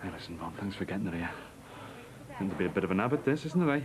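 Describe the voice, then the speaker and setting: A blunt husband. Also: male voice, sits low, sing-song, racing, a crowd in the background. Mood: wry. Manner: blunt, sarcastic, aggressive. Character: middle-aged husband Jimmy, blunt and jealous.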